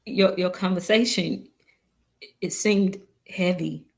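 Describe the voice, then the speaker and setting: An actress playing an earnest young daughter from a village in a staged drama, halting and repeating her words. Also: on the low side, creaky. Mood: concerned. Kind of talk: staged script read of a drama.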